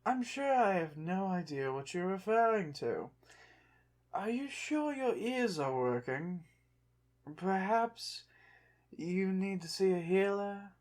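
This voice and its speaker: Someone doing a proud undead egyptian pharaoh, asmr roleplay, real slow n soft, pompous old-timey wording. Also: medium pitch, lively intonation. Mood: curious, haughty. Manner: pompous, formal, archaic phrasing. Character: haughty, prideful undead Egyptian pharaoh mummy, secretly fond.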